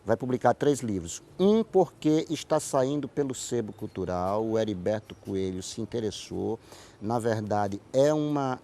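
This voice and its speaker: A man's voice: lowish voice, creaky, mellow. A man with a Northeastern Brazilian accent, a writer answering in a conversational interview and telling stories, often repeating words and phrases for emphasis. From Paraíba, Northeast Brazil. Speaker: writer and playwright, interview guest.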